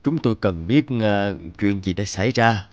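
Man acting a low-voiced, concerned older doctor. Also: expressive, a little mellow, dry recording, muffled recording, natural voice. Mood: serious. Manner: measured, professional, probing. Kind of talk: animated drama acting.

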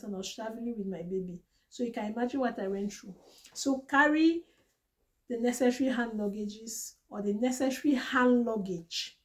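Young cameroonian woman, kinda high voice, chatty earnest travel tips vlog. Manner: chatty and earnest, retelling her own experience with small restarts. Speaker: vlogger sharing travel tips. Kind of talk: casual advice vlog.

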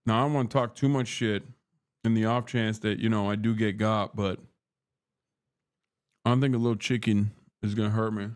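A man's voice: on the low side, steady tone, moderate pace, long pauses, clean tone. A man in his thirties, from Turkey, chatting casually and profanely on a livestream while eating, close to a studio microphone in a small room. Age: 30s. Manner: brash, profane, joking, talks with food in mouth. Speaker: streamer. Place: small wood-paneled room, indoors. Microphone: studio mic on boom arm close to face.

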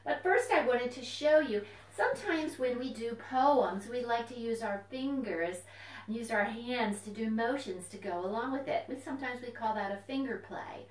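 A woman's voice: fairly high pitch, normal speed. A woman hosting a children's storytime, speaking to young children in simple phrases with questions and encouragement. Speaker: children's librarian, storytime host. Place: indoors at home. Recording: echoey room, distant mic.

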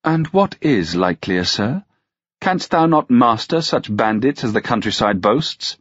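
A man's voice: medium pitch, animated, a little slow, breathy edge, no echo, lo-fi sound, voice pushed up. A man voicing a haughty, cool, mocking princess in an audiobook, with imperious, archaic courtly speech. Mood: cool, challenging.

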